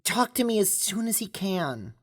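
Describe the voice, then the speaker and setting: A woman playing a nervous, apologetic young girl in an audio drama, halting and hesitant. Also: mid-range voice, very animated.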